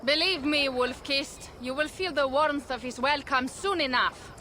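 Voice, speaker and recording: A proud young Viking warrior woman from a video game, with a fairly high voice and an earnest, blunt delivery. She sounds restless, with clear outdoor sound effects behind her. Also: a little slow, noisy background. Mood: steady. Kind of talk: video game cutscene acting.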